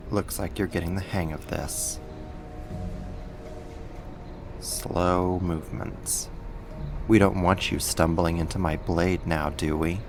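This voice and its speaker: A man playing a sadistic, mocking villain and kidnapper in an ASMR roleplay, with a controlling, condescending manner. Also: deep voice. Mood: cold menace, then taunting, controlling. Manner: slow, taunting, controlling, condescending.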